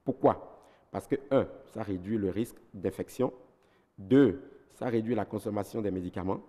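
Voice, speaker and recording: A man's voice: lowish voice, normal speed, warm tone, long reverb tail. A man with a Beninese French accent, a doctor giving health advice on a TV talk show, methodical and didactic, listing his points one by one. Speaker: ENT doctor guest.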